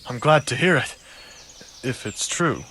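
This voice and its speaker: Wary medieval woodsman, lively intonation. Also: mid-range voice, unhurried, a little hiss or hum. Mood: guarded. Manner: wary, hedging. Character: guarded, evasive medieval charcoal burner, bargaining man. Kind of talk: video game cutscene dialogue.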